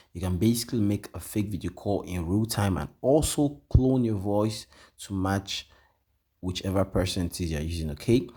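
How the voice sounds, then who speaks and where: A man walking viewers through a piece of software in a casual tutorial, repeating points and tagging sentences with "okay?" and frequent "um" fillers. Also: on the low side, breathy edge. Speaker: tutorial presenter. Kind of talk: screen-share software walkthrough.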